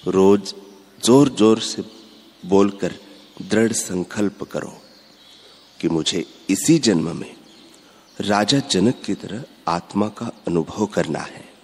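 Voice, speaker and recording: Man, spiritual discourse, very slow pace, measured and repetitive, long hall reverb. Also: low voice, darkish tone, slight background noise. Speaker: spiritual speaker.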